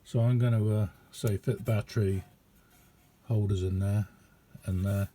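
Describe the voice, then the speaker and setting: A man giving a casual, rambling hands-on demonstration as a radio hobbyist, chatty and hesitant in an unhurried way. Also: fairly low pitch, steady tone, dark tone.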